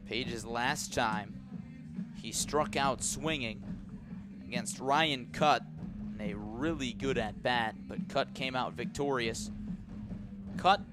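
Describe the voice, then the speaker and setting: A man announces a baseball game for radio in a mid-range voice. He alternates measured narration with punchy calls of each pitch and play. Music is clearly audible behind him. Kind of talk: live radio sports commentary.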